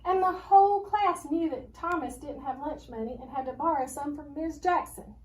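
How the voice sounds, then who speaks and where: A woman teacher reading a children's story aloud with expressive narration. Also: high voice, normal speed. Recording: noisy, distant microphone.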